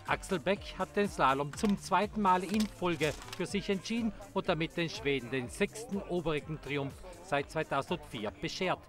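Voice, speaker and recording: A man reading a scripted sports news report in a measured, formal way. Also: fairly high pitch, a bit bright, faint music behind.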